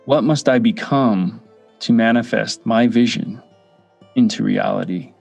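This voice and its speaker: A man guiding a meditation, speaking in short measured phrases with pauses between them. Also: fairly low pitch, vocal fry, a little mellow. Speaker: meditation guide. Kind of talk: guided meditation. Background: background music.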